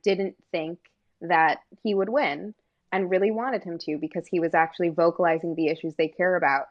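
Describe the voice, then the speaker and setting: Female co-host from Maine, lowish voice, chatty filler-heavy delivery, dull lo-fi audio. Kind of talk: casual political chat.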